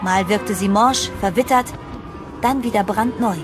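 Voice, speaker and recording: Horror audio drama narrator, played by a woman: shaken heroine, dramatic first-person telling, mid-range voice, clear music bed. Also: mid-range voice, measured pace, lightly acted. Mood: tense, dramatic.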